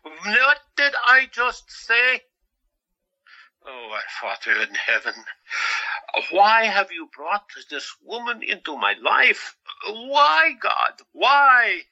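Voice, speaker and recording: A pompous, long-suffering hospital boss in his fifties for an audio drama. The voice is high and swoops dramatically, with slow, scolding delivery. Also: sing-song, sharp tone, highs cut off, exaggerated voice. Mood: despairing. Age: 50s. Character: exasperated, pompous fifty-year-old male doctor and hospital boss.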